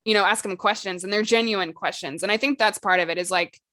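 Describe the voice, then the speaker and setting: A woman's voice: mid-range voice, quick pace, fairly bright tone, dry recording. A woman co-hosting a casual podcast discussion, talking in a conversational, loosely hedged way with "like" and "you know" sprinkled in. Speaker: podcast co-host.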